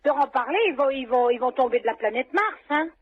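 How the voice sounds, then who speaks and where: A woman's voice: sits high, brisk. A woman in a casual phone conversation, exclamatory and indignant in manner, often repeating herself. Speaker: animal shelter manager answering a phone call. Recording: dull, noisy phone-call recording.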